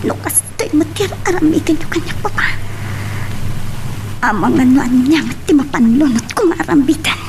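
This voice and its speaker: A woman's voice: high-pitched, lively intonation, normal speed. A woman playing an anxious, love-struck schoolgirl in a radio drama, fretful and pleading, with an Ilocano accent. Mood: upset.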